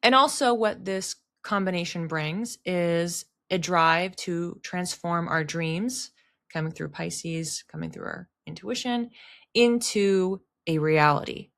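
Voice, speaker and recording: Woman with a slightly low voice, solo explainer talk, chatty and emphatic. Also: slow pace, creaky, fairly bright tone. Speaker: astrology content creator and host.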